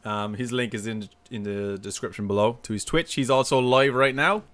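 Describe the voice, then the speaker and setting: A man's voice: medium pitch, normal speed, a bit bright. Upbeat man hosting a live stream. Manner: upbeat, reads out chat names. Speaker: podcast host. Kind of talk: casual live-stream chat.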